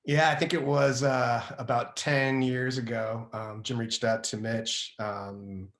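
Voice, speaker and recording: A man's voice: mid-range voice, level tone, slow pace, fairly bright tone. A man reminiscing in casual chat as a guest film director. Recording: echoey room sound.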